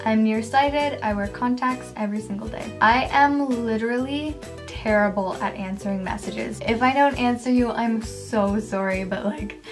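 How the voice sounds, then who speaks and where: Soft-spoken young woman vlogging over music. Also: on the high side, moderate pace, few pauses. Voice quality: quiet, soft voice. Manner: chatty, filler-heavy, laughs often. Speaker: beauty vlogger. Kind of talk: casual facts-about-me vlog. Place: bedroom, indoors, small. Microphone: camera at close range, facing it. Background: background music.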